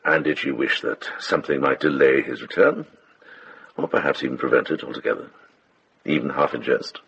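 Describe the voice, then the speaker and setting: An actor playing a shrewd older monk who investigates, in a gravelly voice, speaking with measured, probing authority in a radio drama. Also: male voice, extremely low pitch, animated, fairly bright tone, highs cut off, a little hiss or hum, exaggerated voice.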